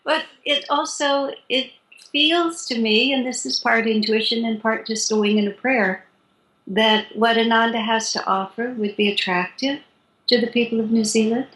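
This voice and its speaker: A woman in her sixties, a spiritual teacher calmly explaining her practice in an interview, with light laughs. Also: on the high side, normal speed. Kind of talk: interview guest. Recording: roomy echo.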